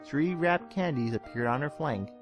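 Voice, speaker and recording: A man giving a theatrical, expressive narration of a scripted dramatic story. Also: a little high, normal speed. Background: soft music.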